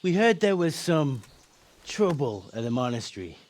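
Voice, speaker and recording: A man's voice: on the high side, very animated, normal speed, darkish tone. A man playing a brash, suspicious medieval thief with a blunt, sardonic manner in a video game. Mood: probing. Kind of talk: video game cutscene acting.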